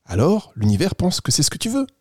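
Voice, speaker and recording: Kindly storybook squirrel, performed by a man: soft, mellow, patient and reassuring, close dry sound. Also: on the high side, huge pitch swings, fast talker, breathy edge, no echo, voice pushed up, heavily acted. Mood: earnest, reassuring. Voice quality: soft, gentle voice. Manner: patient, explaining simply to a child. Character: kind, reassuring talking red squirrel of children's tale.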